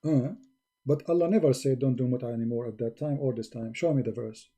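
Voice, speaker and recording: A man with a husky voice hosting an argumentative religious debate, insistently challenging his opponent and repeating his questions. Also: medium pitch, quick pace, mellow. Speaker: host of a religious debate call-in.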